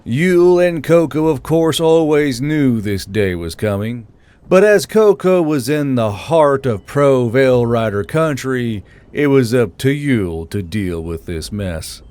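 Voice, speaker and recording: Guy narrating a fantasy audiobook, medium pitch, scripted storytelling. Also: expressive, a little slow, a little mellow, clean tone.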